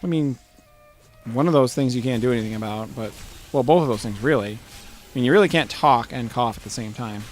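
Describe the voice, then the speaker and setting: A man co-hosting a gaming video with casual, tongue-in-cheek commentary and deadpan explanations. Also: mid-range voice, a little fast, soft background music. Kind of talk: casual bantering game commentary. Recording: faint room noise, game sounds behind.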